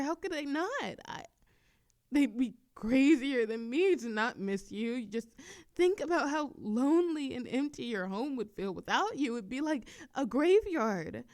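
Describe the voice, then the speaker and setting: Actress as obsessive female yandere kidnapper for ASMR roleplay: highish, slow, doting, awkwardly rambling. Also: darkish tone. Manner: giggly, halting, rambling, cooing reassurance. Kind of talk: ASMR roleplay audio drama.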